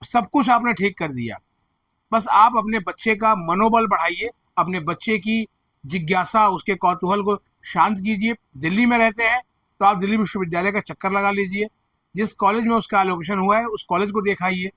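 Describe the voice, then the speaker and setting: A man with a hoarse throat presenting education news, explaining admission figures and earnestly reassuring students and parents. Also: high-pitched, normal speed, sharp tone, no echo. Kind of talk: direct-to-camera advisory commentary.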